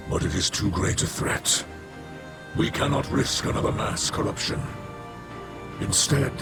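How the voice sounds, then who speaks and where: A man's voice: lowish voice, creaky, exaggerated voice. An actor playing a weary, solemn four-armed alien king in a game, stately and formal in his speech. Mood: grave, resolute. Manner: stately, measured. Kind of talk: formal, grave game dialogue. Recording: loud music under the voice. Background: music.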